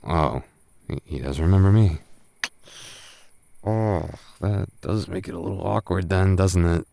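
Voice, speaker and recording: A man's voice: low-pitched, a little slow, vocal fry, noisy background. A man acting as a tipsy, lovestruck male roommate in an intimate roleplay audio, stammering and defensive in a conversational way. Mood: awkward, sheepish. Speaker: voice actor.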